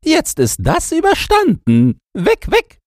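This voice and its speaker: A man voicing a hoarse, barking, grumbling and knowing chained yard dog from a storybook. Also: very high-pitched, huge pitch swings, takes it slowly, darkish tone, no room sound, clean studio sound, voice pushed up, heavily acted. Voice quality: hoarse, yapping. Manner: barking exclamations. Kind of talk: storybook character voice.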